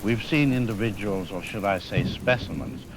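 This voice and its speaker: An actor playing a grave, erudite virologist in a radio drama, speaking formally and deliberately with weighty pauses and cold fatalism. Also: male voice, fairly low pitch, close to an everyday voice. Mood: cold, grim. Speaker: expert guest. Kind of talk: scripted radio drama interview. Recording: hiss, rain and thunder noise. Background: rain, thunder, hiss.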